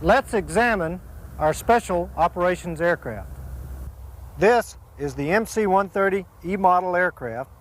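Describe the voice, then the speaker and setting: A man's voice: high voice, animated, measured pace, poor audio. An American man giving a formal scripted instructional presentation as an air force presenter, carefully reading out lists and designations.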